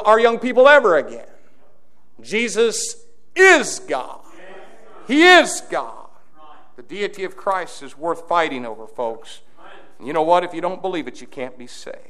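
A man pastor preaching an impassioned sermon, swinging from quiet, intimate passages to loud, shouted declarations. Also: high-pitched. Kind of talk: fiery sermon.

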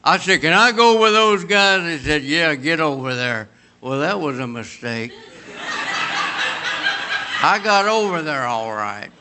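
A man's voice: on the high side, measured pace, long pauses, a little hiss or hum. A man in his late eighties from Kentucky with a Kentucky accent, preaching a homespun sermon full of personal stories. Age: 80s. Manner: homespun, storytelling, lively and chatty.

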